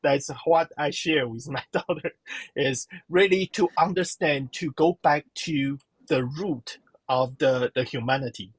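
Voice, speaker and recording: A slow-spoken woman gives interview answers in a husky voice. She halts often and fills the gaps while she searches for her words. The sound is dry and close. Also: low-pitched, no room sound. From Taiwan. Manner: halting, searching for words, many fillers. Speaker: professor and guest.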